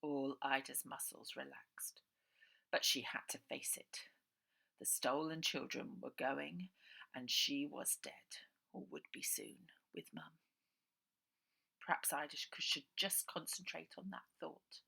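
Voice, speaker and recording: Bright, forceful woman reading a story. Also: low voice, slow pace. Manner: expressive, suspenseful, often breathy near-whisper. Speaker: author reading own novel. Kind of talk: dramatic children's audiobook narration.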